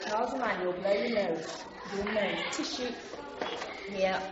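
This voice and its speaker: An actress playing a brisk, controlled nursery teacher talking to small children in a radio drama. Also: female voice, medium pitch, natural voice. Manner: clear short instructions, animated. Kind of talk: acted classroom talk and storytelling. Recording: roomy, dull, noisy classroom sound.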